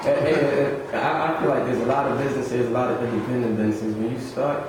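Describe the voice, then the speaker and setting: A man's voice: mid-range voice, fairly even intonation, fast. A man from Suriname who moved to the US, talking casually as a podcast guest in emphatic, repetitive slang. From Suriname, moved to the US in the early 1990s. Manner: slangy, emphatic, repeats himself. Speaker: podcast guest, small business owner. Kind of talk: casual chat. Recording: very echoey room, distant mic, noisy background.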